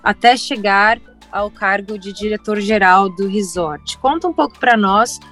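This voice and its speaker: A woman hosting a travel podcast, reading her script in a clear, inviting way. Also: medium pitch, measured pace, fairly bright tone, soft background music. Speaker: podcast host.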